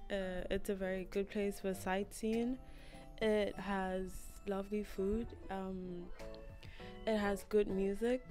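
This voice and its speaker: Teen girl, slow flat delivery, mellow tone, faint music. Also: medium pitch. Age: teens. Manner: hesitant, many fillers, short phrases. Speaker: student. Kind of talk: school interview answers. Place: school library indoors. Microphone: handheld mic held by interviewer.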